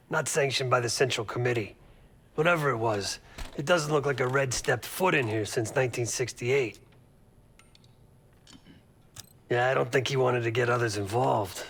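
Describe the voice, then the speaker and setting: Gravelly streetwise spy team leader. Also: male voice, mid-range voice, fairly bright tone. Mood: matter-of-fact. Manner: matter-of-fact, colloquial. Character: seasoned, streetwise male intelligence team leader briefing agents. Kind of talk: dramatic video game briefing.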